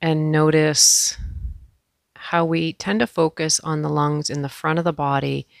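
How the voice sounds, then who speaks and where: Gravelly-edged woman guiding a meditation. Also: low-pitched, little pitch movement, no room sound, clean studio sound. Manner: gentle, unhurried, with pauses. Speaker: yoga and meditation instructor. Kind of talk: guided meditation.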